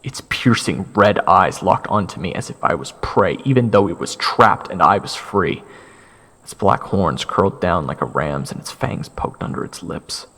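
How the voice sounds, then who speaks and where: A man narrating a first-person fantasy story in a theatrical way, with dramatic pauses. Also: low-pitched, lively intonation, husky. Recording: loud music under the narration.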